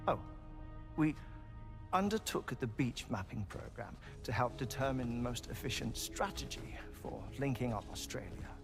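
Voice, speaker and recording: A man's voice: medium pitch, gravelly. A man playing a genial, ailing scientist in a game cutscene, formal and wordy, sometimes dropping to a whisper, with music behind him. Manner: formal, wordy, measured, with soft chuckles. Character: genial, ailing, erudite male scientist resigned to heartbreak. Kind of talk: cinematic video game cutscene acting.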